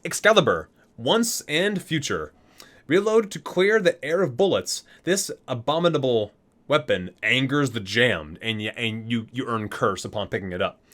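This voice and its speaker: Male ASMR host, medium pitch, highly varied melody; read-aloud delivery broken up by offhand, casual remarks. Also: animated, moderate pace, a bit bright. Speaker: host of an ASMR reading and unboxing video.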